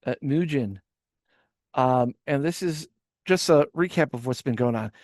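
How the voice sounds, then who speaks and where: A man's voice: mid-range voice, animated, measured pace, a little mellow, no echo, clean studio sound. A man hosting a casual reaction podcast, rambling with stammered restarts and swearing. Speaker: podcast host.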